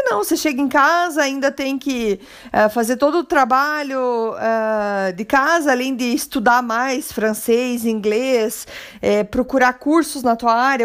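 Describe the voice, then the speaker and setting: A woman with a Brazilian Portuguese accent hosting a motivational podcast, talking in a conversational, encouraging, reflective way. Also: high voice. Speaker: podcast host.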